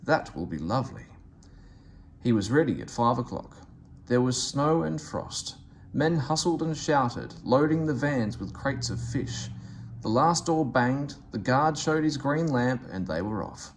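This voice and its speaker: Animated man reading a children's story. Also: mid-range voice, a little slow, a little hiss or hum. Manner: expressive, animated delivery. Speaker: storybook reader. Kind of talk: read-aloud children's story narration.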